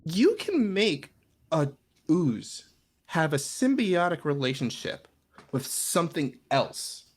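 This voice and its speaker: Animated podcast co-host chatting about fantasy games. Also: medium pitch, takes it slowly, husky. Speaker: podcast co-host and game master. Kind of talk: casual comedic chat.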